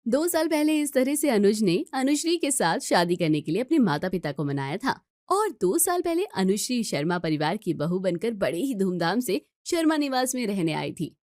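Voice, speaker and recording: A woman's voice: medium pitch, very animated, moderate pace, hardly any pauses, darkish tone, no echo. Woman narrating a story, close dry sound.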